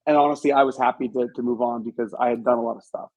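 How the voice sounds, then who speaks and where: A man's voice: medium pitch, fairly even intonation, rapid-fire, a little mellow, low-quality recording. Reflective man telling stories in an interview. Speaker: interview guest, author. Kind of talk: conversational storytelling.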